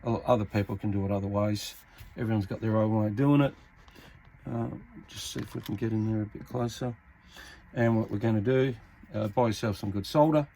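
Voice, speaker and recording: A man's voice: fairly low pitch, dark tone, slight background noise, low-quality recording. Casual man giving a hands-on tutorial. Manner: informal, matter-of-fact, pausing mid-sentence while working. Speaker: hobbyist radio repairer, video host.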